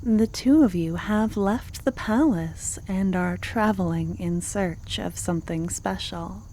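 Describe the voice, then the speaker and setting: Female ASMR narrator, soft scripted storytelling, unhurried pace, medium pitch. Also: slight background noise. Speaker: ASMR roleplay creator and narrator.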